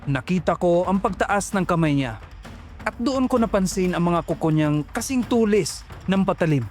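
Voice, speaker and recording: A man's voice: sits high, animated, normal speed, darkish tone, faint music behind. A man narrating a first-person horror story in a dramatic, suspenseful manner.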